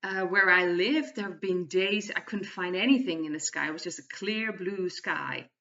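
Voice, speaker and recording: A Dutch-accented woman with a slightly low voice talks through a card reading for her channel. She speaks hesitantly and often restarts her phrases. She sits a little away from the microphone, and the lo-fi recording sounds somewhat dull. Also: moderate pace, bright, forceful tone. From Netherlands. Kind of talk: casual spoken tarot reading monologue. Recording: distant, dull lo-fi mic.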